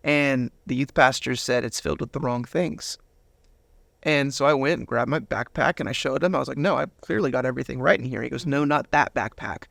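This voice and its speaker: A man shares his personal story in an interview. His mid-pitched voice is heavy with creak and recorded very dry. He acts out bits of remembered dialogue as he goes. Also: fairly quick, fairly bright tone, no echo. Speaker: interview guest.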